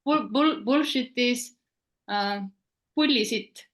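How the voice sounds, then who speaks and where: A woman whose first language is Estonian speaks English in a relaxed group chat. She muses aloud and sometimes pauses to search for words. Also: fairly high pitch. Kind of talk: casual group video chat.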